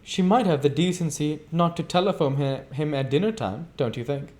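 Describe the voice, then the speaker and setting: A poised young society woman in an audiobook , played by a man, pitched up high. She gossips bluntly, arch and clipped, stressing her words. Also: normal speed, darkish tone, natural voice. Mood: indignant gossip.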